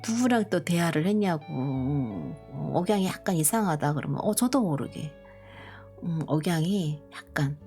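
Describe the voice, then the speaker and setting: A middle-aged woman from Korea who grew up partly in Busan, chatting slowly and gently to viewers with many pauses and fillers. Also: low-pitched, expressive. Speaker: live stream host. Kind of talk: casual live chat to viewers. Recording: soft background music.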